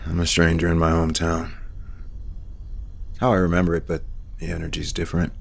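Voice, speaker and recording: Deep-voiced gentle man. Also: monotone, brisk, creaky. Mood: tender. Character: gentle, considerate man back in hometown. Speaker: actor. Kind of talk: audio soap opera acting.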